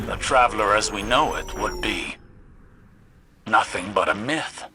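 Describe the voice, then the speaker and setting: Grave, imperious scholar over music. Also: male voice, fairly low pitch, lively intonation, bright, forceful tone, slightly rough, heavily acted. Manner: pompous, formal, measured. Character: grave, imperious male time-scholar guiding a hero. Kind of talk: video game mission narration.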